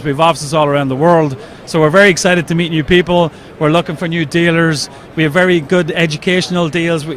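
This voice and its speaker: An Irish man explaining his company's product enthusiastically in an interview at a trade show. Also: a little high. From Ireland. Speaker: company representative.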